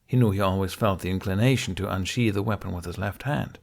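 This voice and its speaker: A man's voice: low voice, quick pace, creaky. A man reading a fantasy adventure story aloud as a scripted audiobook narration.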